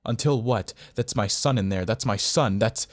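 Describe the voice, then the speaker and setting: A man voicing an anguished father who pleads loudly in a dramatic story. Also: on the low side, measured pace, no room sound, close to an everyday voice. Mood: desperate, pleading. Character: anguished father pleading to see his son.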